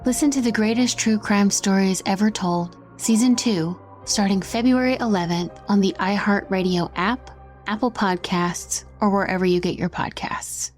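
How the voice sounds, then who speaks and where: A woman's voice: medium pitch, normal speed. A woman podcast host reading a scripted promotional narration. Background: music bed.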